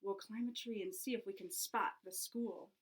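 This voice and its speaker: A woman's voice: medium pitch, steady tone, moderate pace, natural voice, low-quality recording. A woman voicing an eager, confident schoolboy in a children's picture book.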